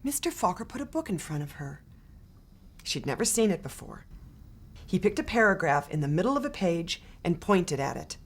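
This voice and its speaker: A woman reading a children's picture book aloud in a gentle, expressive storytelling manner. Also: fairly low pitch, moderate pace, husky. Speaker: storybook reader. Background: soft guitar music.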